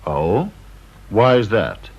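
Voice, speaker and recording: Gravelly, sardonic British official. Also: male voice, fairly low pitch, big pitch swings, a little mellow, full character voice. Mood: dry, detached. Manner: dry, mock-polite, deliberately unsettling. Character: needling, coolly sardonic senior official on an interview panel. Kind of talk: British radio drama acting. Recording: dull old radio recording.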